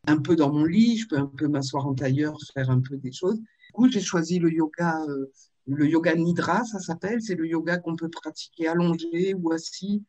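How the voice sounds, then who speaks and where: A woman in her fifties from Marseille, telling her life story in a video-call interview, with frequent pauses and fillers. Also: low voice, warm tone. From Marseille, France. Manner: hesitant, with many fillers and restarts. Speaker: author and interview guest.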